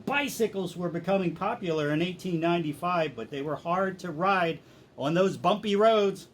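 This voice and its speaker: High-voiced male pastor hosting a puppet show. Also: expressive, moderate pace, a little hiss or hum. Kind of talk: scripted children's puppet show presentation.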